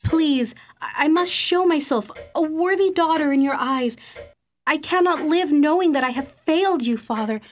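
A high, young female voice from an actress plays a dutiful Victorian daughter in a radio play. She is meek and anxious, beseeching in formal, old-fashioned words over faint background noise. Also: normal speed, natural voice. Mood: pleading. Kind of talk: theatrical radio drama acting.

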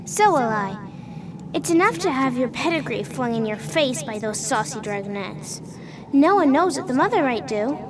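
A woman's voice: sits high, lively intonation, normal speed, noisy background. A woman playing a polite, plucky little farm girl, earnest and plainspoken, in a radio play. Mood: indignant. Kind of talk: radio theater acting.

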